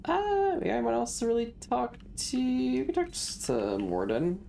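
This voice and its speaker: A woman's voice: a little high, huge pitch swings, normal speed, creaky, mellow. A woman streamer giving casual live game commentary, with teasing, joking asides.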